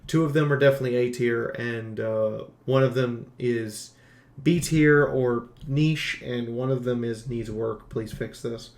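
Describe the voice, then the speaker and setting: A man's voice: medium pitch, steady tone, measured pace. A man giving an unscripted game review in an informal, emphatic way, as a channel host talking to viewers. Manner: informal, emphatic, occasional swearing. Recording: roomy, echoing room sound.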